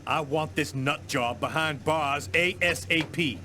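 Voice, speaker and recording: An actor playing an impatient, demanding police boss in a video game. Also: male voice, a little high, measured pace, bright, forceful tone, a little hiss or hum.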